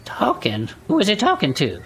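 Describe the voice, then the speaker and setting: A woman's voice: medium pitch, wildly swooping pitch, normal speed, husky, exaggerated voice. A woman playing a folksy, sympathetic and inquisitive investigator in an audio drama, with a blunt, plain-spoken manner. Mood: curious, probing. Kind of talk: immersive audio drama acting.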